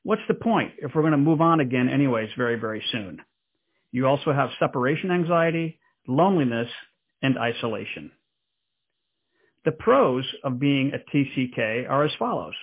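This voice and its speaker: A man hosting and narrating a scripted talk in a measured, explanatory way. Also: medium pitch, animated, husky. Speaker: host. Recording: tinny phone-like sound.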